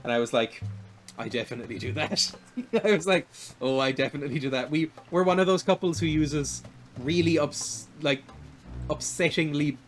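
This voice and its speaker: A young man with a high, light voice talks casually as he plays through a video game, drifting from topic to topic and often laughing at himself. His pitch sits above the usual for men. Faint music plays underneath him. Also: normal speed. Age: 20s. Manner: rambling and self-deprecating, laughs often. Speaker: streamer. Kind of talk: casual chat while playing a video game.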